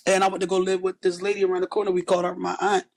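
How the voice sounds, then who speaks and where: Woman, thirties, from west philadelphia, raspy voice, blunt tough delivery, sitting on a studio sofa. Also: on the low side, little pitch movement, rapid-fire, a little mellow. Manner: blunt, tough, street-worn storytelling. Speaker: rapper, interview guest. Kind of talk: candid interview talk. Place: small bright indoor studio, seated on a sofa.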